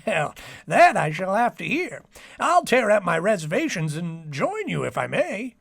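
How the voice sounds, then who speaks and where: A man's voice: high-pitched, huge pitch swings, unhurried, vocal fry, fairly bright tone, no room sound, studio quality, exaggerated voice. A man playing a jovial, teasing doctor in an old-time radio comedy, wordy and wry. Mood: courteous. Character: jovial, teasing male doctor and family friend.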